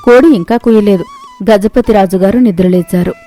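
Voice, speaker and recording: A woman's voice: mid-range voice, normal speed, warm tone, soft background music. A woman reading scripted narration of a moral tale as a storyteller.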